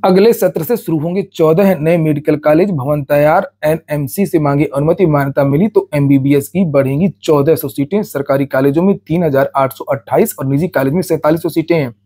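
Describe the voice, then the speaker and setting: A man's voice: a little high, fairly quick, hardly any pauses, dark tone, slightly rough. A man presents recruitment news, reading out notices and explaining them in a matter-of-fact way, often repeating a word as he goes.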